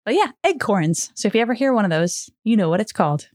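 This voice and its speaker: A woman podcast co-host with a mid-pitched voice, recorded close and dry. She tells stories casually and chattily, slipping into quoted remarks as she goes. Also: very animated, fast, darkish tone, no room sound. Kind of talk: casual conversational storytelling.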